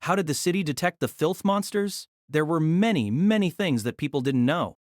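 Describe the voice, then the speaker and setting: A woman's voice: low voice, expressive, moderate pace, a little mellow, no echo, studio quality. A woman narrating a scripted novel as a dramatic storyteller.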